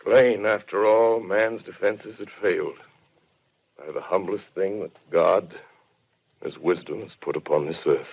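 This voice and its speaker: An actor playing a shaken professor who narrates, solemn and measured, in an old 1930s radio drama. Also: male voice, low-pitched, fairly even intonation, gravelly, slightly rough, narrow, tinny audio, exaggerated voice. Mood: solemn reflective. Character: shaken male professor narrator recalling invasion.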